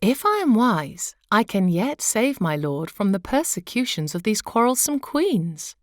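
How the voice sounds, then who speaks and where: Woman playing a soft, measured, wise queen. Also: mid-range voice, very animated, no echo, clean studio sound. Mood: thoughtful. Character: wise, gentle, beautiful queen, calm and conspiratorial.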